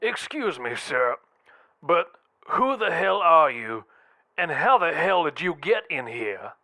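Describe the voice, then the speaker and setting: An indignant, gloomy fellow from a comic radio play, played by a man, speaks slowly in a bright, forceful voice. It is fairly high and swings in pitch. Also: sing-song, dry recording, full character voice, rough recording. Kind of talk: comic audio drama acting.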